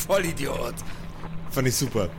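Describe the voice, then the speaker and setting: A man's voice: medium pitch, creaky, background noise. A man narrating an improvised tabletop game as game master, in a playful, colloquial way. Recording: music behind the voice. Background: music.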